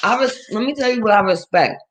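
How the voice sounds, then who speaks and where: A woman's voice: expressive, fairly quick. A woman, hosting a casual livestream rant, blunt and profane, laughing often as she reads the chat. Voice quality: fairly deep for a woman. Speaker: livestream host reading chat.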